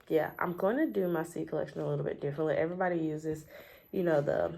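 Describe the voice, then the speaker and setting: A woman's voice: low voice, vocal fry, darkish tone. A woman with a stuffy, scratchy voice from a cold, chatting casually at her table at home, with frequent ums as she shows her things. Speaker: homestead gardening vlogger. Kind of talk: casual chatty show-and-tell.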